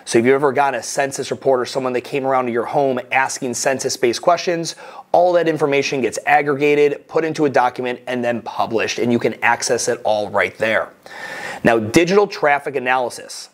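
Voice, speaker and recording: A middle-aged man giving a recorded slide lesson as a course instructor, coaching in a plain, direct way through lists of tips. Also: mid-range voice. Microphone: webcam inset over slides.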